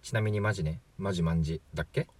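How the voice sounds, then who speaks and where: A man's voice: low voice, rapid-fire, no room sound. A man playing a chatty, flippant 43-year-old from the future in a radio drama, glib and matter-of-fact. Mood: explaining, casual. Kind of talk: amateur radio drama acting.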